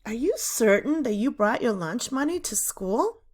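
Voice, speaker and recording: Storybook role: firm, correcting female teacher read by a woman narrator, unhurried, with lively questioning intonation. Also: on the high side, voice pushed up. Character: stern, probing female fifth-grade teacher.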